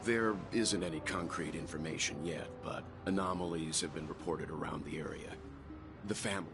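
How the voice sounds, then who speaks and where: A man's voice: extremely low pitch, moderate pace, over music. An actor playing a stern, dutiful lawman in a video game, speaking formally and firmly in a cautioning way.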